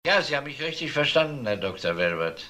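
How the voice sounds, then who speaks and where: An actor playing a probing, matter-of-fact police detective in a crime radio drama. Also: male voice, medium pitch, big pitch swings, fast, noisy background, close to an everyday voice. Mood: confronting. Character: male police detective, probing and blunt interrogator. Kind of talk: German TV-crime style radio drama acting.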